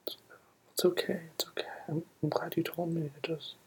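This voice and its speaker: A roleplay performer in a soft, soothing ASMR whisper, playing a comforting partner and repeating gentle reassurances. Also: medium pitch, flat delivery, brisk, poor audio. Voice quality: breathy whisper.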